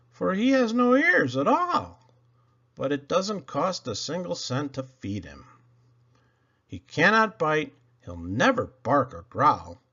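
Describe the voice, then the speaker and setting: A man reading storybook poetry aloud to children in a theatrical, expressive way with dramatic pauses. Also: a little high, muffled recording. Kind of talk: animated storybook read-aloud.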